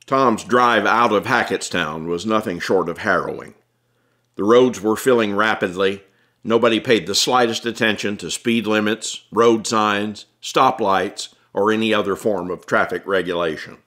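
Low-voiced man narrating a suspenseful audiobook. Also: normal speed, fairly bright tone. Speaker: author reading his own audiobook. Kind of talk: scripted dramatic narration.

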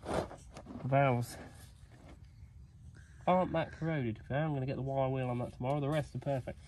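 A man's voice: mid-range voice, fairly even intonation, moderate pace, darkish tone, slight background noise. Young man tinkering outdoors at night. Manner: chatty, cheeky, thinking aloud while working. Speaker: vlogger mechanic. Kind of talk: casual workshop narration. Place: outdoors at night by an old digger.

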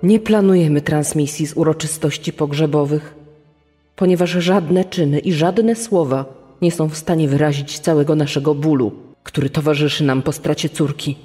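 A man playing a grieving father making a statement in a true-crime audio dramatisation, with a husky, dark voice. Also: fairly high pitch, fairly even intonation, moderate pace. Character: grieving father of a murdered daughter. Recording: long reverb, music bed behind.

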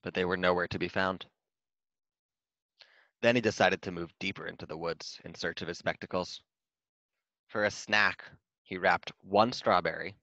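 A man's voice: sits low, bright, forceful tone, no room sound, muffled recording. A man reading a children's storybook aloud in scripted narration. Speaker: storybook reader.

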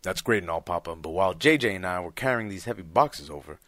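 A man acts out a hapless, cocky young schemer for an audio comedy. He is slangy and excitable, with lively pitch and a dry, close sound. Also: quick pace, no room sound. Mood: wry, casual. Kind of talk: comedic audio drama acting.

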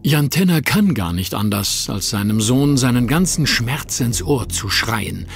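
A man narrating a German audio drama in a steady, dramatic storytelling manner. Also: mid-range voice, unhurried, creaky.

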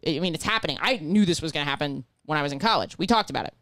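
A woman in her thirties with a deep voice, a comedian guest chatting casually on a podcast, brash and profane as she tells stories. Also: rapid-fire, dry recording. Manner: brash, profane, animated storytelling. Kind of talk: casual chat. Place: small living room podcast set. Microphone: handheld mic, headphones.

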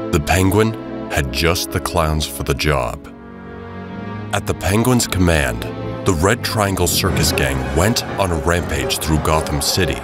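Low-voiced man narrating a dramatic story.

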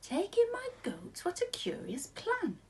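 A woman voicing a flustered, grumbling little old lady from a storybook, pleading and exclaiming with drawn-out words. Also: very high-pitched, wildly swooping pitch, a little hiss or hum, voice pushed up, exaggerated voice. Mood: incredulous.

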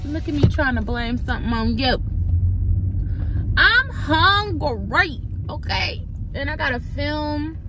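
A woman's voice: high-pitched, animated, slow pace. A young adult woman talking casually and candidly to her camera, slangy and chatty, in a car. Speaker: vlogger. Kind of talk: casual vlog talk to camera. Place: in a car outdoors. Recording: loud car and street noise.

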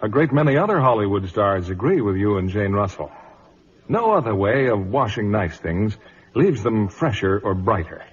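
Emphatic, husky radio pitchman. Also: very animated, normal speed. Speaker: commercial announcer. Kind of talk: 1950s radio advertisement. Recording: muffled vintage radio broadcast.

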